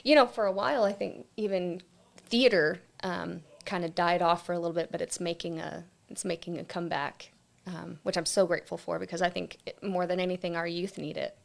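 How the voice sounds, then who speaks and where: Gravelly-voiced woman, earnest guest. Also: on the low side, steady tone, a little fast. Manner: earnest, enthusiastic. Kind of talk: casual chat.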